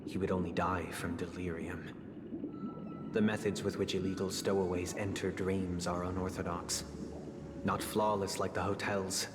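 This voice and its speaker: Low, grave noble family head, slow formal telling, music under it, reverby. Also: male voice. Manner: measured, formal storytelling, soft hushed phrases. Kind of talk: game dialogue voice acting.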